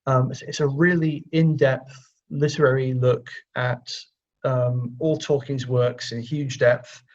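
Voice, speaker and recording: A man's voice: medium pitch, flat delivery, a little mellow. Man chatting in a dull lo-fi recording. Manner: halting and conversational, repeating words. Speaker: guest writer. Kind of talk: casual interview chat.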